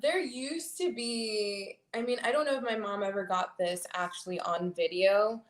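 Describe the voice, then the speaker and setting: A woman's voice: mid-range voice, moderate pace. Young woman guest in a casual interview, mid-range voice, laughs often, hesitant with fillers. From Florida and Dominican Republic. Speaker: interview guest, model and singer.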